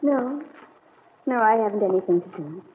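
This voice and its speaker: An American actress playing a heartbroken, brooding young niece in a classic radio serial, wounded and melodramatic in delivery. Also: female voice, medium pitch, expressive, moderate pace, slight background noise, natural voice. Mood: distracted, uneasy. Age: young adult. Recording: tinny narrow-band old radio recording.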